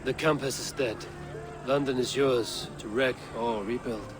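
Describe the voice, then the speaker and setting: An actor playing a stern, resolute Viking clan leader in a dramatic video game cutscene. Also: male voice, medium pitch, steady tone, unhurried, lightly acted. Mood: solemn. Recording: clear background noise.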